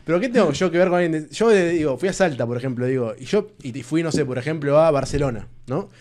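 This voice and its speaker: Porteño dude hosting a podcast, kinda high voice, stumbles a bit thinking out loud. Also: male voice, expressive, quick pace. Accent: porteño Spanish. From Buenos Aires. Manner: hesitant, thinking aloud. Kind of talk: casual podcast conversation.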